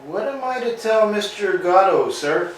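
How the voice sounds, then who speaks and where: A man's voice: high-pitched, lively intonation, measured pace, slight background noise, pitched above the performer's own voice. A man voicing a timid young messenger boy who answers meekly and politely. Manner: meek, polite, hesitant. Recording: echoey room, distant mic.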